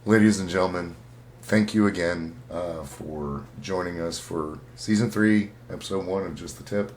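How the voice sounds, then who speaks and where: A man hosting a casual writing show, talking to his listeners in a halting way as he thinks aloud. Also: sits low, slight background noise. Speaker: host of a writing-tips show. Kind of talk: casual talk to listeners about storytelling.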